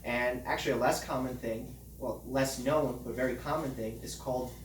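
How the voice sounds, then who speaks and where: A man who is a doctor, calmly explaining health matters in plain everyday words during a casual chat. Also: medium pitch, normal speed, echoey room, far from the mic, background noise. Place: hot room under studio lights.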